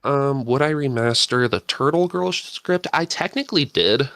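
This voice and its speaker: A man speaking as a panel guest, casually recounting his writing in halting, thoughtful phrases. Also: mid-range voice, animated, fairly bright tone, no room sound, clean studio sound. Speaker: panel guest, script writer. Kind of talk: casual reflective storytelling.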